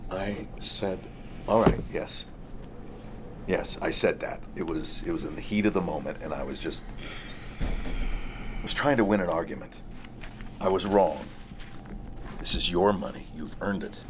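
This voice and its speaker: A man's voice: low voice, a little slow, pauses often. A man acting the part of a controlling, persuasive middle-aged father and former drug boss, insistent and repeating himself, at a table read. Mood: insistent, defensive. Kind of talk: acted script at a table read. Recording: noisy room recording.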